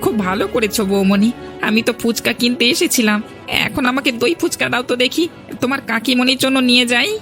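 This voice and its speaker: A woman's voice: a little high, fast talker, fairly bright tone, faint music behind, natural voice. A woman playing a friendly, gossipy village uncle in a cartoon fairy tale. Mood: approving.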